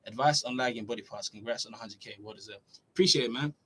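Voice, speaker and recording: Young adult male, Norway-born fitness streamer, casual chatty Q&A host, mid-pitched voice. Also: fast talker, husky. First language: Norwegian. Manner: relaxed, chatty, reads questions aloud then answers. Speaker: fitness YouTuber answering live chat. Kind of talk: casual livestream Q&A.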